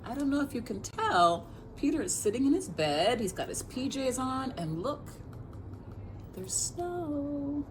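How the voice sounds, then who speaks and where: Unhurried, animated woman reading a storybook. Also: high voice, music in the background. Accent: American. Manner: expressive, questioning, addresses children directly. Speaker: children's librarian reading at storytime. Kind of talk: storytime picture-book read-aloud with asides to young listeners.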